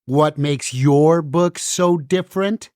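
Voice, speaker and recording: A woman's voice: low voice, slow, warm tone, no room sound, clean studio sound. A woman playing a frantic, world-weary banned book in a musical puppet show, urgent and dramatic as it warns and interrogates. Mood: pressing, sardonic.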